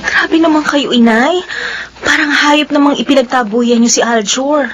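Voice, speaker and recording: An actress playing an earnest, stubborn young Filipino novice who pleads and protests in a radio drama. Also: female voice, sits high, moderate pace, a bit bright, faint music behind. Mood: indignant. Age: young adult.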